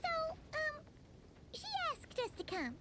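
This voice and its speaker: A woman voicing a tiny, chirpy, childlike fairy companion in an anime video game, curious and excitable. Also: very high voice, measured pace, background noise, exaggerated voice. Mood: hesitant. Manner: excitable, refers to self in third person.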